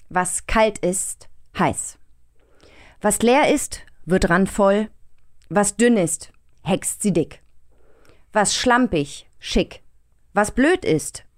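A woman playing a stern female head of state addressing the nation, dry and solemn, in short measured phrases. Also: on the low side.

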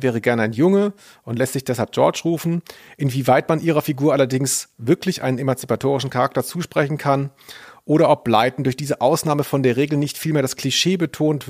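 A man's voice: mid-range voice, no echo. Male podcast host, close and dry. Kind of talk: casual discussion, some reading aloud.

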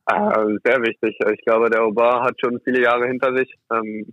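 Young male athlete, casual interview guest, halting delivery, medium pitch, dull lo-fi sound. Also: little pitch movement, no echo. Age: young adult. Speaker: ice hockey player, interviewed guest. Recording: muffled, dull lo-fi audio.

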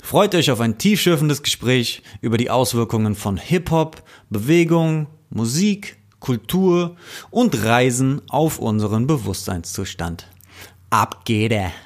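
A man hosts a casual podcast interview in a mid-range voice, chatting easily between his scripted lines. His questions come with plenty of fillers and quick approving replies. A roomy echo hangs around the recording. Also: mid-range voice, lively intonation. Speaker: podcast host.

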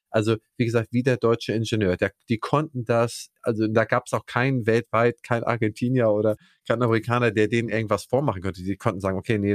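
A man's voice: on the low side, no echo, clean studio sound. A man hosting an interview, chatting and sharing anecdotes in a conversational style. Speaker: podcast interviewer.

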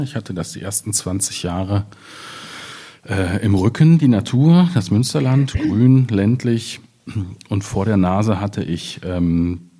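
Deep, husky man in reflective conversation. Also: dark tone. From Ruhr area, Germany. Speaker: poet in a literary conversation. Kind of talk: reflective literary discussion.

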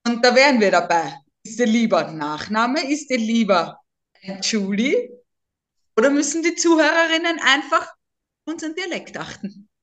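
A chatty woman from near Vienna co-hosts a casual podcast in a somewhat high voice. Her German has an eastern Austrian, lightly Viennese accent. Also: animated, moderate pace, fairly bright tone. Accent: eastern Austrian German, slightly Viennese. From Lower Austria, near Vienna. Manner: talkative, chatty. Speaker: podcast co-host.

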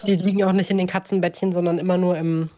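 Eine Frau, die in einem Podcast locker und mit trockener Ironie plaudert. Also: female voice, fairly low pitch, flat delivery, quick pace, mellow. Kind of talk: casual podcast chat. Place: at home.

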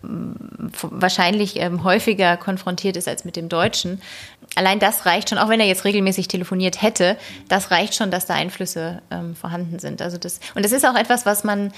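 Thoughtful woman linguist, soft laughs. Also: fairly low pitch, steady tone, a little fast. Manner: thoughtful, hedging with fillers; laughs softly between answers. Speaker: linguist guest. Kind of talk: conversational interview.

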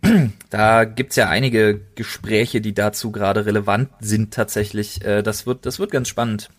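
A man's voice: fairly low pitch, steady tone, moderate pace. A man co-hosting a podcast, chatting casually in slangy, colloquial language. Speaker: podcast co-host.

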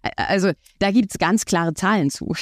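A woman's voice: mid-range voice, moderate pace, no room sound, clean studio sound. A woman speaking as an expert podcast guest, explaining her points conversationally with everyday examples.

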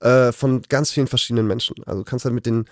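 Brief: man, laid-back host, dry close sound, middle pitch. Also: quick pace, no echo. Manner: relaxed, hesitant with many fillers. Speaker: podcast host. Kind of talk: casual chat.

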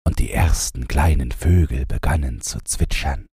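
A man narrating a fairy tale as a bedtime audiobook, in a gentle, soothing and deliberate storytelling style. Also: a bass voice, husky, no room sound, clean studio sound.

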